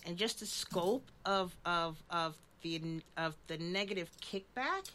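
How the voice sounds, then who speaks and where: A woman's voice: lowish voice, animated, slow, a bit bright, slight background noise. Chatty, affirming woman around fifty. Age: about 50. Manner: affirming, often murmurs agreement while listening. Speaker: talk show host. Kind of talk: casual community talk conversation.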